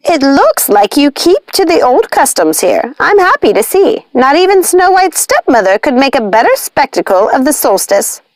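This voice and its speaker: Woman voicing a shrill, gushing witch sister. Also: big pitch swings, moderate pace, few pauses, no room sound. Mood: condescending. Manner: exclaiming, theatrical.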